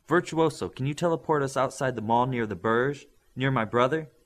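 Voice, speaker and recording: A man's voice: medium pitch, a little fast, voice pushed up, natural voice. A man reading an audiobook, voicing an eager young man showing friends his city.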